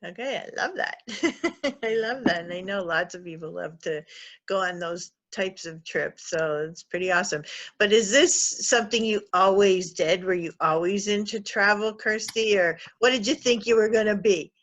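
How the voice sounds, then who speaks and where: A woman's voice: medium pitch, normal speed, a bit bright. Upbeat woman hosting an interview. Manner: upbeat, enthusiastic. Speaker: interview host. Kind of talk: casual interview.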